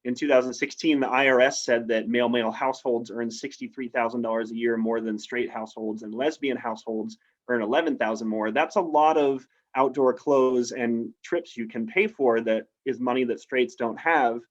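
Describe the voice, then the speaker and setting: A man's voice: medium pitch, steady tone, moderate pace. A man from the United States, a guest in a casual interview, speaking in an upbeat, persuasive way over a video call. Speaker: interview guest, outdoor adventurer. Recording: slightly dull, lo-fi audio.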